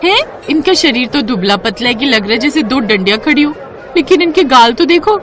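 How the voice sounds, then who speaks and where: A woman's voice: high-pitched, lively intonation, brisk, voice pushed up. A woman voicing a cheeky, mocking young woman in a cartoon story, brash and teasing.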